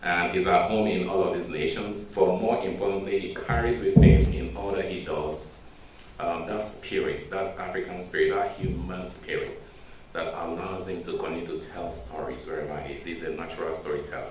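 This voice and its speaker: Nigerian man, native Igbo speaker, very low voice, formal and measured, distant mic in a strongly echoing hall. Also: fairly even intonation. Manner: measured, formal, with frequent hesitations. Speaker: faculty speaker introducing a guest. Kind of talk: formal introduction speech. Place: university lecture hall. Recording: echoey hall, distant mic, faint noise.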